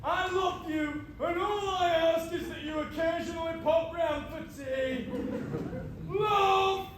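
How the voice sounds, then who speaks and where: A doting, gushing father, played by a man, very high-pitched and slowly declamatory. It is broad pantomime acting, heard across an echoing stage. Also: crowd noise. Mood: proud, gushing. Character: doting, proud father reading out his letter. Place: theatre stage. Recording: distant echoey stage, clear noise.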